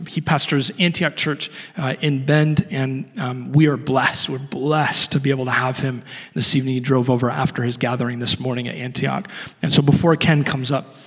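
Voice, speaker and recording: A man's voice: mid-range voice, fairly even intonation, a bit bright, breathy edge. Male pastor, hesitant and filler-heavy, introducing a guest; church with long reverb. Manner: halting, many fillers and restarts. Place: church sanctuary.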